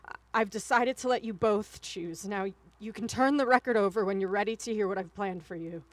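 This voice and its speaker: A woman's voice: medium pitch, brisk, dry recording, lightly acted. A woman acting in a radio drama as a regretful, loving mother leaving a recorded message, earnest and a little hesitant. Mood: apologetic, resolute.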